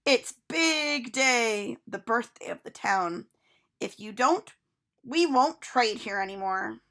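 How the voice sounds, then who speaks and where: A woman's voice: fairly high pitch, lively intonation, slow pace, fairly bright tone. A woman voicing a spry, bossy old lady from a storybook, exclaiming and making demands. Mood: demanding.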